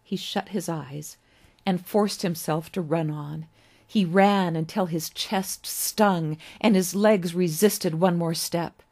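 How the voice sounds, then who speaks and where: Low-voiced woman reading a story dramatically. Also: takes it slowly, a little mellow. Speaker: author reading her own story. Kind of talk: expressive scripted story narration.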